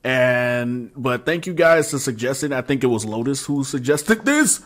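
A young man giving casual gaming reactions and commentary in an informal, expressive, slangy way, close on a desk microphone. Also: medium pitch, normal speed. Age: young adult. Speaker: gaming YouTuber. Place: small room at home, indoors. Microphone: close desk microphone with pop filter.

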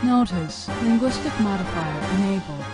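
A woman's voice: mid-range voice, lively intonation, moderate pace, close to an everyday voice. A woman voicing an impassive computer operating system of a war machine, giving clipped, impersonal announcements in a game fan dub. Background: loud music.

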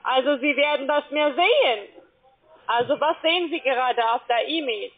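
A woman's voice: sits high, expressive, normal speed. A woman with a foreign accent, a non-native speaker, talking bluntly and insistently as a call-centre agent. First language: not German. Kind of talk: sales phone call. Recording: tinny, muffled phone line.

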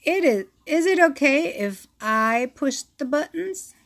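A woman reading a children's picture book aloud, with an expressive storytelling delivery. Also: high-pitched. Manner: slow, expressive reading with acted-out sound effects.

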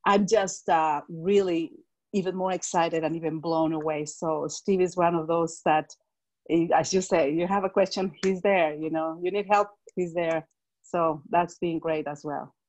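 A middle-aged woman from Mexico with a Mexican Spanish accent, speaking on a video call through an earphone mic, enthusiastic and quick to laugh. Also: on the low side, fairly even intonation, darkish tone, low-quality recording. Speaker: direct sales executive.

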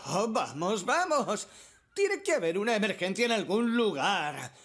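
An actor playing an eager, excitable, good-natured young firefighter in a children's cartoon dub, speaking earnestly. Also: male voice, sits high, unhurried, exaggerated voice. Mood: restless. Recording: loud music behind.

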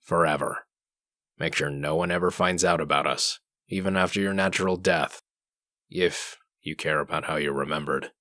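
Casting: male actor for no-nonsense comic dub sheriff. Rock-bottom pitch, sharp forceful tone, terse and threatening. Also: steady tone, normal speed, no echo, clean studio sound. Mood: menacing.

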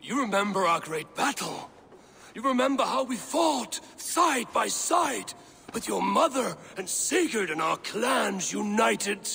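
An actor playing a boisterous, proud Viking father who talks slowly and shouts with exultant pride in a video game cutscene. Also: sits high, sing-song, vocal fry, breathy edge, full character voice.

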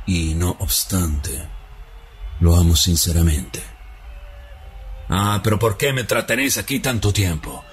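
A man playing Brutus, a troubled noble Roman, speaking in a grave, brooding, measured theatrical recitation. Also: sits low, faint music behind. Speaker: actor performing a stage monologue. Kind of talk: theatrical radio-drama recitation.

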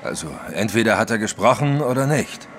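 A big, pensive detective in an audio drama. His low voice moves expressively as he questions deliberately and insistently. Faint music sits behind it. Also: animated, a bit bright. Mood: probing, pensive. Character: big, probing French male detective, methodical and pensive.

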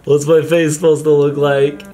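A man's voice: on the high side, level tone, moderate pace, dark tone, soft background music. Playful man gaming with his wife. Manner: playful, joking, self-mocking. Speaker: vlog host. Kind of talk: casual couple gaming chat. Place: home gaming room, indoors.

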